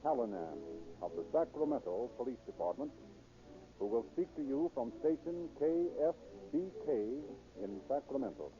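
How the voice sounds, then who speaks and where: A man's voice: medium pitch, lively intonation, normal speed. An American man announcing and narrating from a script in formal 1930s radio style. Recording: dull 1930s radio recording.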